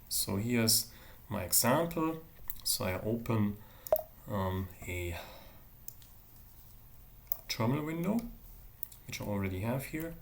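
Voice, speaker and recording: A man giving a university lecture with a software demonstration, explaining in a measured, halting way. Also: fairly low pitch, vocal fry.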